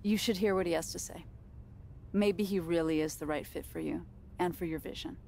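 An actress playing an assured, uncompromising corporate sports agent in a video game, delivering lines like a polished pitch. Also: female voice, brisk, creaky, mellow, a little hiss or hum. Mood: firm. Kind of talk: naturalistic game cutscene dialogue.

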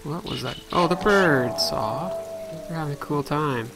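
A man's voice: a little high, unhurried, over music. A man giving casual let's play commentary, thinking aloud as he plays.

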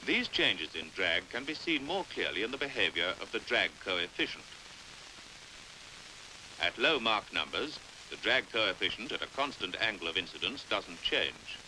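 Man, British accent, 1950s educational narration, measured and precise, animated pitch, faint film hiss. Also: rough recording. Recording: hissy old 1950s film soundtrack.

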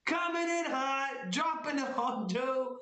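A man in his thirties live-streaming at a desk indoors, muttering low, then shouting whenever he wins, with his voice going hoarse. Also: lively intonation, takes it slowly. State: losing his voice. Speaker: lottery scratch-off streamer. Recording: roomy echo, loud music behind.

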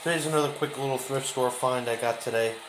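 A man giving a casual, off-the-cuff show-and-tell as a hobby video host, speaking in halting, trailing phrases in a kitchen behind a handheld camera. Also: medium pitch. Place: home kitchen, indoors. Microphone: handheld camera, behind the lens. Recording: clearly noisy home camera recording.

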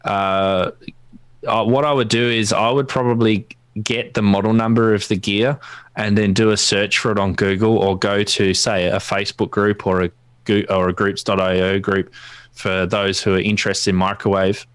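Low-voiced Australian man, casually technical. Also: flat delivery, normal speed, smooth, no room sound. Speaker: guest ham radio expert. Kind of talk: casual technical explaining on a livestream. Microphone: close studio mic on boom arm, with headphones.

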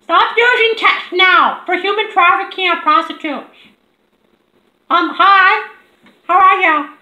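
A man acts out a ditzy young woman in an extremely high, bright falsetto. She pesters with mock-innocent questions on a prank phone call, repeating herself in an echoing room. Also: slow pace, exaggerated voice. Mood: mock-friendly. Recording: roomy echoing indoor recording.

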